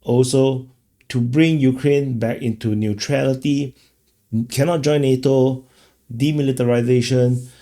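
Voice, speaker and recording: A man's voice: medium pitch, fairly even intonation, unhurried, warm tone. A man hosting an off-the-cuff political commentary, rambling casually and often repeating words as he goes. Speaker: commentator, show host.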